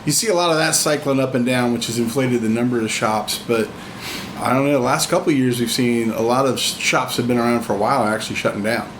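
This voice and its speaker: A man's voice: mid-range voice, fast. A man in his fifties chatting casually and explaining things informally, like a veteran tattooer talking shop. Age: 50s. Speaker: veteran tattoo artist, podcast guest. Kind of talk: casual shop-talk conversation. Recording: roomy, noisy room with hum.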